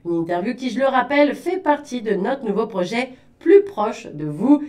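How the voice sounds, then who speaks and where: A woman's voice: a little high, animated, moderate pace, a little mellow. A woman interviewing a guest on radio in a casual, chatty and informal way.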